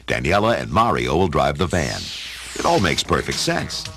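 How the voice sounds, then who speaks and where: A man's voice: very deep voice, huge pitch swings, normal speed, vocal fry, pushed and bright, faint music behind. A man reading scripted narration for a dramatic audio story.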